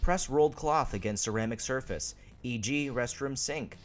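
A man's voice: medium pitch, moderate pace, close to an everyday voice. A man playing a fussy, serious adviser in a web comic dub, giving clipped, telegraphic instructions. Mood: serious, instructive.

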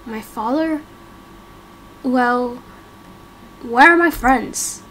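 A frightened young boy, acted by a woman, high-pitched and slow. He stammers and pleads in a game roleplay, with noticeable noise behind him. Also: a bit bright, rough recording. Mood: confused, hesitant. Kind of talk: amateur game roleplay acting.

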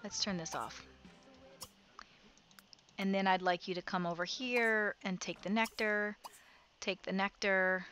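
A woman's voice: medium pitch, steady tone, measured pace, long pauses, a bit bright, soft background music. A woman casually commenting as she plays a life-simulation game, thinking aloud and giving her characters directions. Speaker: let's play host.

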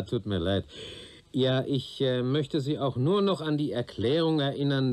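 A man's voice: mid-range voice, darkish tone. An actor playing a sardonic, world-weary male police detective who probes and questions in a radio play. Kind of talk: radio drama dialogue.

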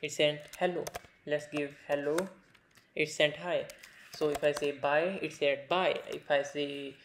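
A man's voice: on the high side, steady tone, unhurried, a bit bright, a little hiss or hum. A young man from India, a native Hindi speaker with an Indian accent, explaining a coding project over a screen recording with frequent fillers. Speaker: programming tutor.

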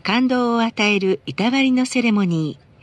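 A woman's voice: a little high, measured pace. A woman reading scripted advertising announcements for a bus, politely and formally.

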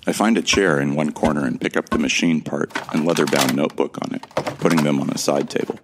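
A man's voice: rumbling low, fairly even intonation, fast talker, background noise. A man narrating an audio drama in the first person, earnest and bewildered. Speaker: narrator and lead actor.

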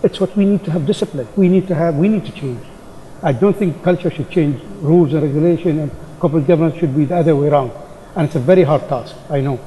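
Fast-talking guy, super mellow dark voice, pushing his point in a big hall. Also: fairly high pitch, slight background noise. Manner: insistent, emphatic. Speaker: business panelist. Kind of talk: panel discussion. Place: large conference hall stage.

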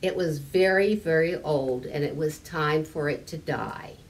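An older woman voicing a gentle, very old storybook grandfather who explains things patiently and reassuringly. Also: deep voice, measured pace, recorded from a distance. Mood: matter-of-fact.

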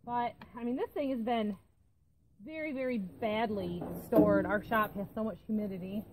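Chatty woman working outdoors, higher voice. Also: moderate pace, background noise. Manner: chatty, thinking aloud as she works. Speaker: homesteading channel host. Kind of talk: casual talk while working. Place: outdoors by a barn on a farm. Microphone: camera on a tripod nearby.

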